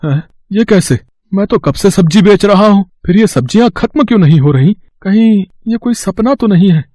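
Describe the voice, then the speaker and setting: Cartoon village vegetable seller, played by a man: high male voice, poor and kind-hearted, plaintive, earnest, dry recording. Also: lively intonation, normal speed, warm tone, dry recording. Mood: astonished.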